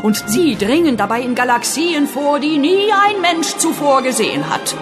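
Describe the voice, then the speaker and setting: A woman's voice: high voice, sing-song, normal speed, loud music behind the voice. An actress playing a stern, sarcastic female boss in a comedy radio play, scolding and mocking. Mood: stern, accusing.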